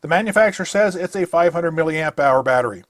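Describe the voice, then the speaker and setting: A man's voice: fairly high pitch, lively intonation, fast, no room sound, studio quality. A man narrating a scripted product review, listing features in a methodical, matter-of-fact way.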